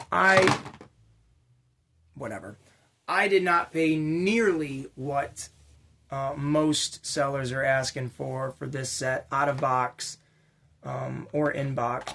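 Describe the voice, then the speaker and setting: A man with a slightly raspy voice hosting a casual, unscripted unboxing vlog for toy collectors, rambling along with slangy, enthusiastic asides. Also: a little high, a bit bright, slight background noise. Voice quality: a little raspy. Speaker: toy collecting channel host.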